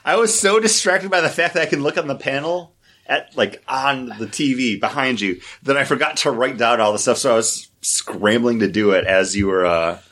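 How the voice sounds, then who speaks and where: A man talking as a guest on a casual podcast, relaxed and joking. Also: mid-range voice, moderate pace.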